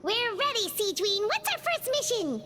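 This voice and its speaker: A woman voicing a small, excitable, chatty fairy companion in a video game, with a squeaky childlike voice. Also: normal speed, loud music behind the voice. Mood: eager. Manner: exclamatory, refers to herself by name. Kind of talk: video game character acting.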